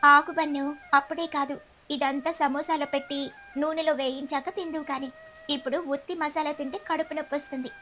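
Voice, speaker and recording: A woman's voice: high voice, fairly even intonation, fairly quick, sharp tone. A woman voicing a practical, kindly mother sparrow who cooks and instructs in a cartoon story. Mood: instructive. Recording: music behind the voice.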